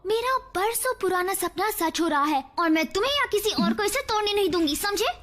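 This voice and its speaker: A woman voicing a feisty, excitable young female robot in a cartoon dub, with a shrill, scolding manner. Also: brisk.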